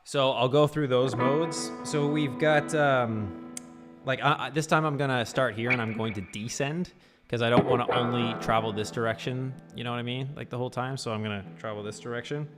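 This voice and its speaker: A man's voice: mid-range voice, fairly even intonation, normal speed. A man teaching guitar on a casual livestream, talking loosely with lots of fillers. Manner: loose, rambling, full of fillers.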